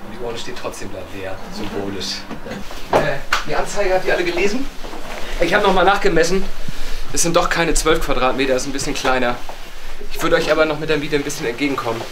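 Fast-talking middle-aged man, noisy recording. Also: on the high side, animated. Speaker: photographer. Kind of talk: informal guided talk to visitors. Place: room in a flat. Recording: loud room noise and clicks.

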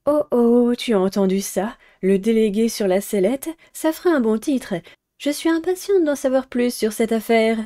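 A woman reads a pushy schoolgirl journalist from a dating game. She is brisk and prying, with a fairly high, soft-toned voice. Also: natural voice. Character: pushy, nosy teenage girl school reporter.

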